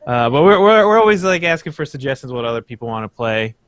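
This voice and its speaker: A young man in casual chat on a livestream, rambling and halting with fillers. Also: medium pitch, very animated, fairly bright tone, no room sound. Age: young adult. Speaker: livestream co-host.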